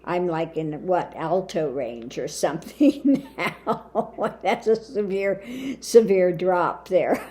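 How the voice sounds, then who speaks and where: A woman talking casually to her viewers in a halting way, her voice lowered and weakened after throat surgery. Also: expressive, warm tone. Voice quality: lowered, limited voice. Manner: slow, halting, with many fillers. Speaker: spiritual channel host. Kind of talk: casual monologue to camera.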